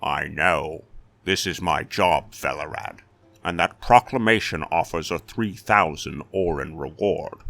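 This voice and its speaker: A man voicing a stoic, dangerous monster hunter with a resounding voice, calm and terse, in a fantasy audiobook. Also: very deep voice, unhurried, creaky, no echo, full character voice. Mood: flat, resolute. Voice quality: resounding, metallic. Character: stoic, dangerous male monster-hunting stranger.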